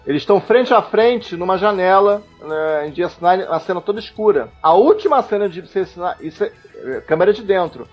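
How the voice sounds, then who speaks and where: A Brazilian man on a podcast, analysing a TV series casually and thinking aloud with halting, repeated words. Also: high-pitched. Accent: Brazilian Portuguese. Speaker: podcast panelist. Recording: dull lo-fi podcast audio with music.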